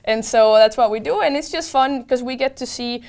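A woman's voice: a little high, normal speed, darkish tone, clean tone. A woman with a Venezuelan accent, a native Spanish speaker, answers interview questions in an enthusiastic, informal way. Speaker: interview guest, tech program manager.

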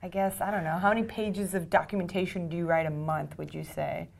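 A woman hosting a casual interview, chatty and quick with follow-up questions. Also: lowish voice, fairly even intonation, vocal fry. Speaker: podcast host, interviewer.

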